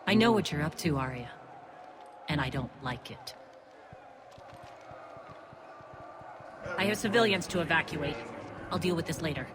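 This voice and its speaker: A woman's voice: deep voice, lively intonation, brisk, noisy background. An actress playing a principled, wary female militia leader in a video game, firm, dry and challenging. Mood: suspicious, stern.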